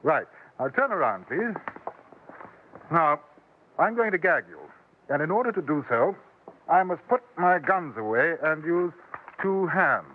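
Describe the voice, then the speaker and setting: An actor playing a courteous, coolly dangerous English gentleman adventurer in a 1950s radio western, speaking formally with a British accent on an old broadcast recording. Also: fairly high pitch, sing-song, measured pace, long pauses, phone-quality sound, a little hiss or hum. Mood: politely menacing. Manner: formal, polite, precise. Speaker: lead character and narrator.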